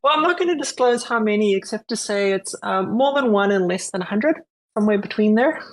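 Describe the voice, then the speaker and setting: A woman's voice: medium pitch, animated, fairly quick, low-quality recording. A woman from Zimbabwe and South Africa with a southern African accent, chatting as a guest in a friendly, good-humoured way. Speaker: podcast guest. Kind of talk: casual chat.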